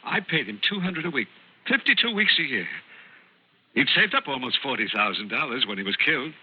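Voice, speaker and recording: A troubled husband with a guilty past in an old radio thriller. He speaks earnestly and haltingly in a husky voice with lively pitch. The vintage recording sounds a bit muffled. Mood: confessing. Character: guilt-ridden, earnest husband confessing to embezzlement. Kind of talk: old-time radio drama acting.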